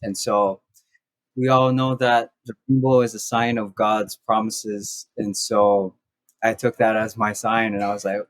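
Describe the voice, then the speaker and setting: A young man from Maui, a house church pastor, sharing his story in a soft-spoken, halting way with many restarts. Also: fairly low pitch, little pitch movement, no room sound. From Maui, Hawaii. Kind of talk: casual podcast conversation.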